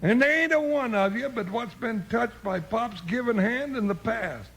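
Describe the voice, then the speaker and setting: Big folksy deputy sidekick, very high husky voice, homespun, earnest, 1950s radio western. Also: male voice, animated, normal speed, a bit bright, heavily acted. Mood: earnest, stubborn. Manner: drawling frontier slang, plain-spoken and earnest.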